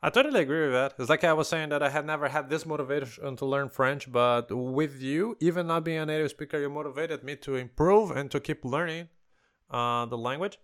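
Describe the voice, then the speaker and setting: Clear-voiced man hosting, non-native English. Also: fairly high pitch, a little fast, a bit bright. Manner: non-native English, explanatory, restarts words. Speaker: podcast host. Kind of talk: casual conversational interview.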